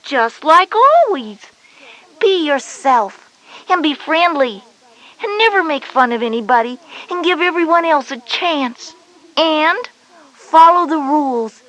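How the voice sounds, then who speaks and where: A woman's voice: high-pitched, lively intonation, takes it slowly, fairly bright tone, heavily acted, poor audio. A woman voicing a confident schoolgirl big sister, instructive and encouraging, in a children's educational audio drama. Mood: reassuring, encouraging.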